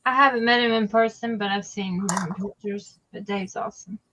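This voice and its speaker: A woman in her forties in casual chat on a live stream, easygoing and quick to laugh things off. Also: medium pitch, fairly even intonation, fairly bright tone, dull audio. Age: 40s. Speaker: guest.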